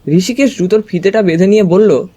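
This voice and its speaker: A man's voice: high-pitched, a little fast, dark tone. A man giving a dramatized first-person narration of a mystery story. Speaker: story narrator, also voicing the narrating character.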